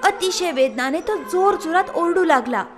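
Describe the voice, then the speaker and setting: Woman narrator for kids' animated stories, high voice, lively lilting delivery, faint music bed. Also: moderate pace. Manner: expressive, lilting storytelling. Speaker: storyteller. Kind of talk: scripted children's story narration.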